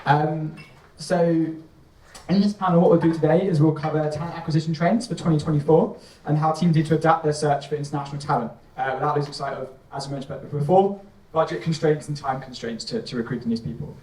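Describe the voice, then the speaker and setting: A man's voice: fairly high pitch, fairly even intonation, a little fast, a little mellow. A man moderating a conference panel in an easygoing, casual way. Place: conference stage. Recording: echoey hall, distant mic.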